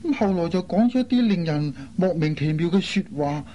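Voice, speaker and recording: A man's voice: sits high, animated, a little fast, dark tone. An actor playing an earnest, concerned airline crewman in a radio drama. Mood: agitated recounting. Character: earnest, concerned man, a flight crew colleague. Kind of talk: Cantonese radio drama acting. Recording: dull lo-fi recording, faint noise.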